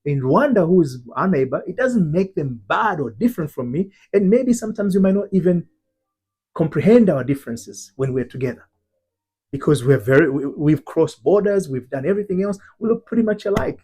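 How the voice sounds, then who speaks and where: A man's voice: a little high, animated, brisk, darkish tone, breathy edge. A man from Tanzania, working as an identity transformation coach, gives an earnest teaching talk, stressing single words and often restarting and repeating himself.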